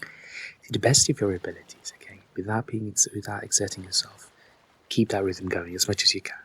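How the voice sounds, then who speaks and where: A man leading a guided meditation with soft, breathy delivery, speaking gently and reassuringly to the listener in unhurried instructions. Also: lowish voice, fairly even intonation, a little hiss or hum, poor audio.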